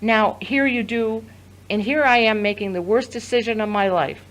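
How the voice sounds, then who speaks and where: A woman's voice: fairly high pitch, moderate pace, lightly acted, poor audio. A woman playing a betrayed, resolute wife confronting her husband in an audio drama. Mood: wounded, resolute. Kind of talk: scripted audio drama acting.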